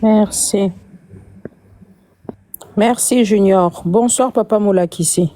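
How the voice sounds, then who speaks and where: A man chairs a Congolese political discussion, calling time and greeting callers. He speaks slowly in a high, dark-toned voice. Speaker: moderator. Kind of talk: chairing a debate.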